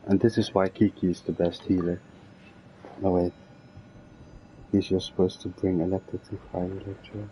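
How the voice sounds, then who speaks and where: A man's voice: sits low, little pitch movement, moderate pace, long pauses, mellow, slight background noise, rough recording. A man giving casual, informal gameplay commentary as a streamer, thinking aloud as he plays. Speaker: video game streamer. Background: game music.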